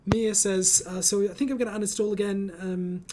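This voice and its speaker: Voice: medium pitch, fairly even intonation, brisk, darkish tone, a little hiss or hum. A host of a casual online group chat, reading out comments and speaking in an encouraging, reassuring way over a voice call.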